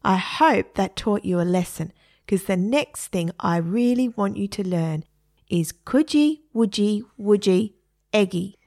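A woman's voice: mid-range voice, animated, a little slow, a little mellow. A woman voicing a bossy, impatient young male prankster in a read-aloud story.

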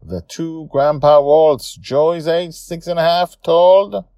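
A man's voice: a little high, slow, clean tone, no room sound, clean studio sound. A man hosting a story podcast, talking informally and emphatically about his own serial. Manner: animated, emphatic, self-correcting.

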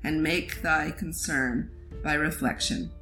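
Woman reading verse aloud in measured phrases. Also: low voice, sharp tone, faint music behind, rough recording. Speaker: poet reading a poem aloud. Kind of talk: expressive poetry reading with brief asides.

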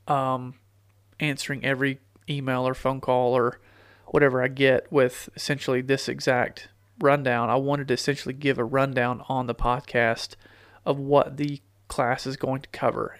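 A man's voice: medium pitch, clear, resonant tone, no echo, studio quality. Stuffy-nosed man hosting a podcast. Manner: rambling, many fillers and restarts. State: stuffy nose from a cold. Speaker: podcast host. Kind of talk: casual solo podcast talk. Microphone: studio podcast microphone.

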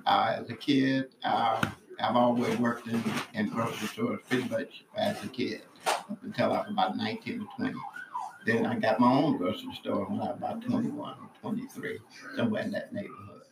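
A man in his late seventies recalling his past in a casual family interview, halting and searching for details. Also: on the low side, steady tone. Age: 70s. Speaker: interviewed relative. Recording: roomy, faint background noise.

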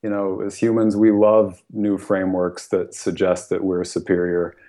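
A man's voice: deep voice, completely flat delivery, moderate pace, warm tone. An American man, a guest author, talking earnestly and thoughtfully in a podcast conversation, often laughing and saying "you know". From United States.

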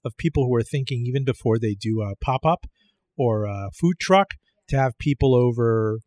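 A man's voice: mid-range voice, expressive, moderate pace, darkish tone, dry recording. A man hosting an interview, asking curious and enthusiastic questions. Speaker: interview host.

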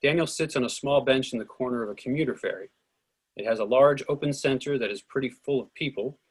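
A man narrates a script's stage directions in short declarative sentences. His voice sits in a middle register with a husky, slightly rough grain. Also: medium pitch, fast talker.

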